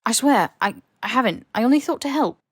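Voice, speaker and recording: A woman voicing an earnest, awkward teenage boy wizard in an audiobook, halting and stammering as the character defends himself. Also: fairly high pitch, expressive, slightly rough, dry recording, clean studio sound, voice pushed up. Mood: flustered, defensive.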